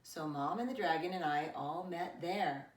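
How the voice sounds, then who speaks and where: Middle-aged woman, lowish voice, expressive preschool read-aloud, dramatic emphasis, recorded a bit away from the mic. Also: recorded from a distance. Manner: slow, deliberate phrasing with dramatic emphasis for young children. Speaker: preschool teacher reading a picture book aloud. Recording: roomy echo, away from the mic.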